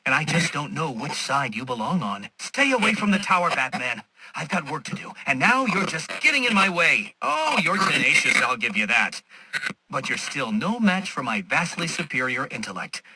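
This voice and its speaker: A sharp, smug genius villain. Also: male voice, a little high, big pitch swings, moderate pace, a little hiss or hum, exaggerated voice, low-quality recording. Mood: indignant, sardonic, then menacing. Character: smug, condescending male genius villain, taunting and superior. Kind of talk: video game villain voice acting.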